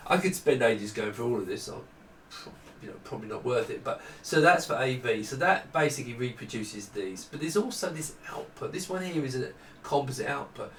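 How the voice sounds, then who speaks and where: A middle-aged man talks through hardware in an informal, unscripted way, at an average pitch. He often stops and rephrases as he explains. The sound is roomy and picked up from a distance, with a little background noise. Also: expressive, a little fast, fairly bright tone. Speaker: presenter demonstrating hardware prototypes. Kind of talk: informal unscripted product walkthrough. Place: small indoor workroom with desk. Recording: echoey room, distant mic, faint noise.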